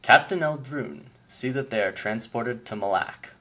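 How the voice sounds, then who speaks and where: A man voicing an ancient, commanding male keeper of mysteries in an audiobook, speaking in a grave, measured and haughty way. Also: medium pitch, pushed and bright. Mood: scornful. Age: older. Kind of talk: audiobook character voice.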